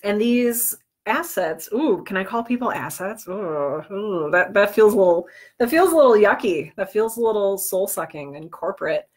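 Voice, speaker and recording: A woman's voice: mid-range voice, expressive, normal speed, slightly rough. Chatty woman teaching on a livestream. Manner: chatty, self-deprecating asides. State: under the weather with cold or flu, on medication. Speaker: livestream host teaching podcast business planning. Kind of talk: informal livestream tutorial, chatting with viewers.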